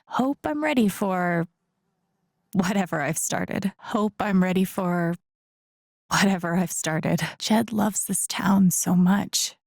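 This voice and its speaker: Young woman game heroine, muttering to herself, kinda wry, fry in her voice, super dry close sound. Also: mid-range voice, darkish tone, no echo. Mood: musing. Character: young woman game protagonist, wry, empathetic, thinking aloud. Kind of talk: understated video game voice acting, interior monologue.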